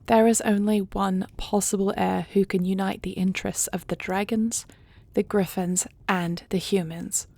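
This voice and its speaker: A woman playing a regal, tender dragon queen in an audio roleplay, speaking gently with formal, measured diction. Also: medium pitch, fairly even intonation, creaky, no echo. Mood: reassuring, stately. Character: regal dragon queen, tender, earnest wife and would-be adoptive mother. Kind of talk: scripted audio roleplay drama.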